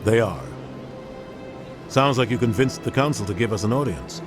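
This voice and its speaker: A man playing a steady, loyal captain. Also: lowish voice, moderate pace, close to an everyday voice. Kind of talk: video game voice acting. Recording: game audio over music.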